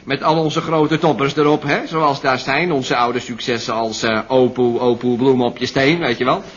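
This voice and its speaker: An actor playing a slangy, rambling young pop musician in an old radio comedy. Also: male voice, mid-range voice, steady tone, moderate pace, slightly rough, close to an everyday voice. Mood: cocky, boastful. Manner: rambling, slangy, full of tags like "you know". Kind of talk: 1970s Dutch radio comedy acting. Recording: muffled old radio recording.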